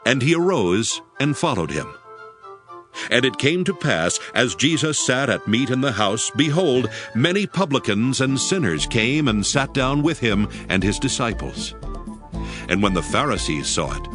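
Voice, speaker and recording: Expressive male scripture narrator over music. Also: medium pitch, unhurried. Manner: formal, solemn storytelling. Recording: music behind the voice.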